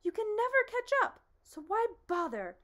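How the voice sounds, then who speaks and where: A woman's voice: very high-pitched, very animated, moderate pace, voice pushed up, heavily acted. A woman doing a pompous blustering humbug. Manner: blustering, grandiose, loud. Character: pompous boastful old male humbug bug.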